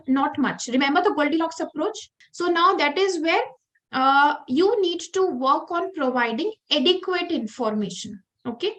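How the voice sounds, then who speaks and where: A woman with an Indian accent, a native Hindi speaker, teaches creative writing in an online class and keeps checking in with her students. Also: high voice. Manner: chatty, checks in with "okay" and "clear for everyone".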